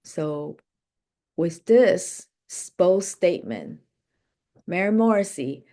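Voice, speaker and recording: Low-pitched woman coach with unhurried delivery. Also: big pitch swings, mellow. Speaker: life and business coach. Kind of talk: motivational coaching session.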